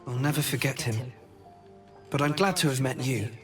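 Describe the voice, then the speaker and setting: A man's voice: mid-range voice, moderate pace, faint music behind, natural voice. An actor playing a loyal, wistful male dog in a video game, speaking simply and earnestly.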